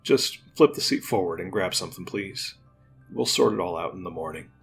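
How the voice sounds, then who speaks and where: A man playing a gruff but tender uncle who talks to his young nephew in an earnest, plainspoken, folksy way in an audio drama. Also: low-pitched, fairly quick, soft background music. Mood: instructing.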